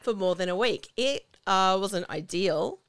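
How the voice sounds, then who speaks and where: An Australian woman co-hosting a casual podcast chat, slightly husky after laryngitis, rambling and self-deprecating. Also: mid-range voice, animated, unhurried, no echo, studio quality. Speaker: podcast co-host. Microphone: home recording over video call.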